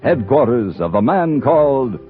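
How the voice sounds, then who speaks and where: A man's voice: mid-range voice, sing-song, unhurried. A man announcing an old-time radio show in a declamatory, portentous style. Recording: muffled old radio recording. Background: brass music.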